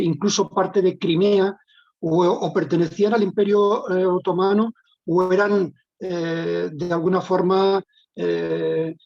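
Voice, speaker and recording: A man lecturing in answer to audience questions in a measured, explanatory way. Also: sits high, vocal fry, darkish tone. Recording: dull lo-fi online call.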